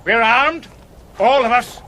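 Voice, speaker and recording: A man's voice: extremely high pitch, slow pace, pushed and bright, a little hiss or hum, heavily acted. An actor playing an earnest, wary village watchman in a radio drama, plain-spoken and deferential. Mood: wary challenge.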